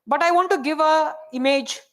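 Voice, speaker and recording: Woman, Indian English accent, high voice, soft-spoken, workshop teacher, keeps asking "right?". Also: normal speed. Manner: chatty, checks in often with "right?" and "okay?". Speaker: AI workshop presenter. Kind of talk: hands-on tech workshop teaching.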